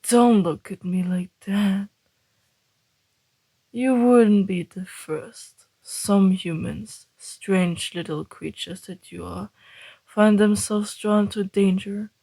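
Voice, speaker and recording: A woman playing a suave, teasing male vampire in an ASMR roleplay, speaking in a breathy, deliberate, flirtatious half-whisper. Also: medium pitch, dark tone, no echo, low-quality recording.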